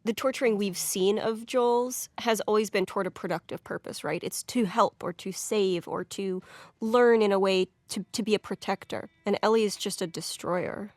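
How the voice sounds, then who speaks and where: A woman's voice: mid-range voice, moderate pace, dry recording. Articulate woman, dry close sound. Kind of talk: unscripted analytical discussion.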